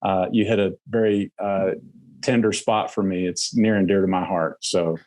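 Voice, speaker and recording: Low-voiced earnest male podcast guest. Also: steady tone, moderate pace, a little mellow. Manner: earnest, reflective, with "um" fillers. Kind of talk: conversational interview answers.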